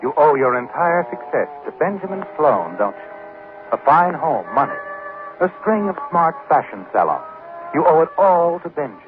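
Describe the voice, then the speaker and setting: An actor playing an insinuating, suspenseful male narrator of a 1940s radio mystery. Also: a little high. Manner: insinuating, suspenseful second-person address. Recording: tinny, noisy 1940s radio recording.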